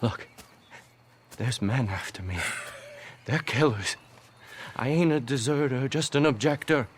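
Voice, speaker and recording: A male actor plays an American army deserter in a game scene. His voice is medium in pitch, with an earnest, pleading manner. There is faint noise behind him. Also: unhurried, natural voice. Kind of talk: dramatic game cutscene dialogue.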